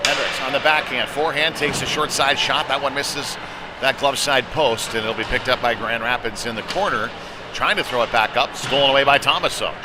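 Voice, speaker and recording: A man calls a hockey game live as the play unfolds. He speaks in short, clipped fragments at a medium pitch. Clear venue noise runs behind his voice. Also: fairly quick. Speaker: play-by-play commentator. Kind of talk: live youth hockey commentary. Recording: noisy rink, clear background noise.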